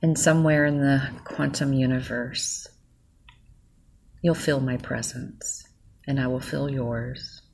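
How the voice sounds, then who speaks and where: A woman leading a guided gratitude meditation, speaking softly and soothingly straight to the listener. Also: very deep voice, steady tone, gravelly, husky. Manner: soft, slow and soothing, speaking directly to the listener.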